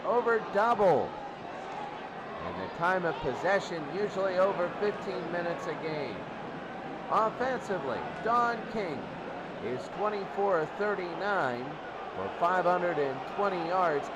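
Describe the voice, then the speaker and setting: A man commentating on sports, talking through team statistics off the cuff, with noise behind him. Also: high voice. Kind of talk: unscripted statistics rundown before a game.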